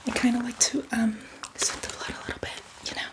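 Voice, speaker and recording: A woman's voice: on the high side, steady tone, fast talker, close to an everyday voice. A woman playing a chatty, apologetic, casually bloodthirsty zombie in a whispered ASMR roleplay, rambling and reassuring. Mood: eager, apologetic.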